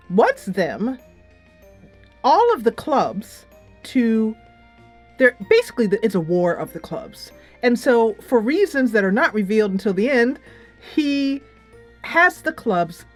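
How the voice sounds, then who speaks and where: Music plays behind a woman with a mid-range voice. She talks as the host of a casual game review. Her delivery is chatty and emphatic, moving loosely from thought to thought. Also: mid-range voice.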